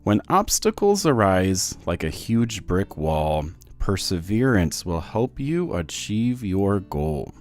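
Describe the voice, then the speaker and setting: A man's voice: low voice, slow, hardly any pauses, faint music behind, full character voice. A man voicing a patient, encouraging father who reassures and teaches his young son in a children's storybook. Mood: instructive. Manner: reassuring, instructive, animated.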